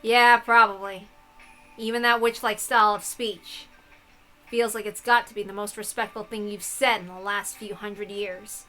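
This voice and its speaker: A woman voicing a defiant, sarcastic young woman from a visual novel, curt and loud in her delivery. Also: mid-range voice, steady tone, moderate pace, soft background music, close to an everyday voice, low-quality recording. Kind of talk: visual novel read-aloud.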